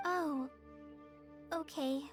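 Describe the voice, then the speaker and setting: A woman voicing a tiny, eager childlike helper in a video game, squeaky, chirpy and naive. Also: very slow. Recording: music under the voice.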